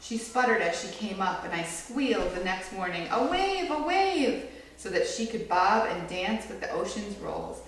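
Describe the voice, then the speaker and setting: A low-pitched-to-average woman's voice is not needed here; give a woman reading a children's story aloud expressively, with some creak in her voice. She is recorded from well across a very echoey room, with faint noise behind her. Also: big pitch swings, moderate pace, recorded from a distance. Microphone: far from the reader.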